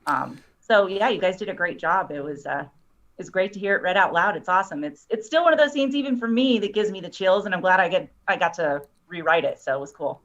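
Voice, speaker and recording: Woman talking earnestly about her writing, lowish voice, bit dull lo-fi audio. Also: animated, a little fast, a bit bright. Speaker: guest, scriptwriter. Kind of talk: casual chat. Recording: slightly dull, lo-fi sound.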